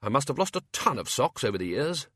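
A man's voice: medium pitch, sing-song, fast, a bit bright, dry recording, studio quality. A man voicing a bossy, sarcastic older wizard who runs a university, blustering and emphatic, in a storybook reading. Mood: brisk, commanding.

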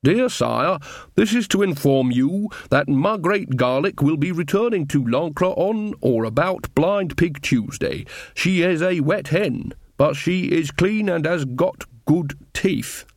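A man voicing a blunt, imperious old witch woman as her letter is read out in an audiobook, deliberate and bossy. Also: fairly high pitch, animated, no echo, studio quality, pitched above the performer's own voice. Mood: stern, instructing.

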